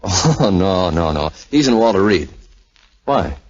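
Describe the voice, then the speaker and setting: A man's voice: deep voice, big pitch swings, a little slow, full character voice. A man playing a wisecracking, loyal army flyer pal in 1940s radio drama style. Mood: breezy. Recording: muffled vintage 1940s radio recording.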